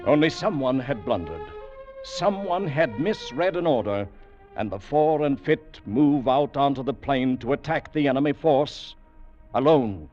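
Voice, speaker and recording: A man narrating an old radio adventure drama in a measured, dramatic manner. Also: medium pitch, lively intonation, over music. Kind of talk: scripted narration, 1940s radio. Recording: dull old radio broadcast with faint hiss.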